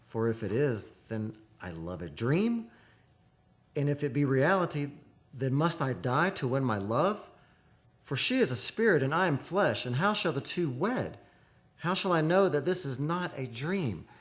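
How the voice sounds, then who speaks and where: A man's voice: mid-range voice, natural voice. A man playing a tormented, lovesick romantic in a radio play, declaiming poetically in a theatrical, anguished manner. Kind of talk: old-time radio play acting. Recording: prominent music under the voice.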